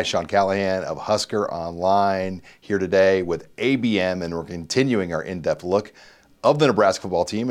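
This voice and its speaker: A man hosting a sit-down sports interview, asking friendly, rambling questions. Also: deep voice. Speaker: sports reporter and host.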